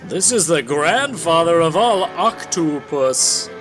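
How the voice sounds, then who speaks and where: A man acts out an earnest, brash undersea superhero from a golden-age comic. The voice is high and swings dramatically in pitch, declaiming every line as melodrama over faint music. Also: expressive, takes it slowly, a bit bright. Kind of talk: comic-book dramatic reading.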